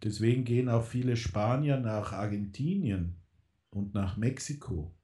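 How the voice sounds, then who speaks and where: A man's voice: mid-range voice, dark tone. A man in casual political radio talk, rambling with many fillers and restarts. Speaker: guest.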